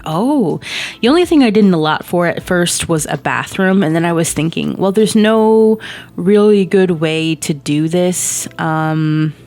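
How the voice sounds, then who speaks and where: A woman in her thirties, hoarse from a cold, chatting casually in a rambling way with lots of ums and little laughs as she narrates a game build. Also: on the low side. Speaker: Sims speed-build creator. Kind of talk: casual voice-over chat over gameplay. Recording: soft background music.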